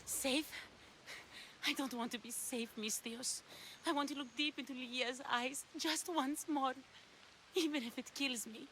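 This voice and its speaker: A woman's voice: high-pitched, fairly even intonation, a little slow, fairly bright tone, slight background noise, low-quality recording. An actress playing a lovesick, desperate woman of ancient Greece in a video game, with an earnest, pleading delivery. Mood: yearning, urgent. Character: lovesick, desperate ancient Greek woman seeking her lover. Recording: music behind the dialogue.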